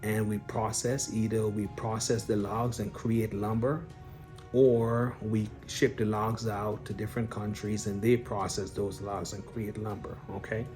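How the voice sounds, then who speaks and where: Guy from guyana rambling about his businesses to viewers, lowish voice, lots of um and restarts, faint music under it. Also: level tone, unhurried, a little mellow. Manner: unscripted, frequent fillers and restarts, addresses viewers directly. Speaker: real estate investor and business owner. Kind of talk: casual explanatory vlog talk.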